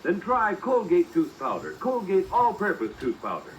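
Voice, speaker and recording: A man's voice: high-pitched, huge pitch swings, normal speed, slight background noise, rough recording. A man working as a 1940s radio announcer, delivering emphatic, polished sales copy.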